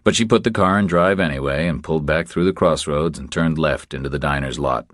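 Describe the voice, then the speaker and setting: A man narrates a thriller audiobook in an extremely deep voice. The recording is dry and close, with no room sound around the scripted reading. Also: brisk, fairly bright tone, no echo.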